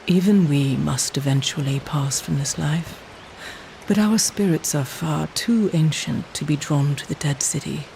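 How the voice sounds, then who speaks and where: An actor playing an ancient, sorrowful shaman of a stone people in a video game, solemn and measured. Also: fairly high pitch, a little mellow, a little hiss or hum, low-quality recording. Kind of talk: video game cutscene acting. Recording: clear music behind the voice. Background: game score.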